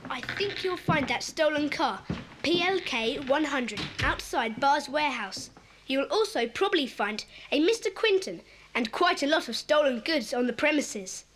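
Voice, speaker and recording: Eager, high-voiced bossy schoolboy. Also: female voice, lively intonation, moderate pace, a bit bright. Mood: keen, earnest. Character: Peter, bossy eager schoolboy leader of child sleuths. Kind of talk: children's audio dramatisation. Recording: hissy old cassette recording.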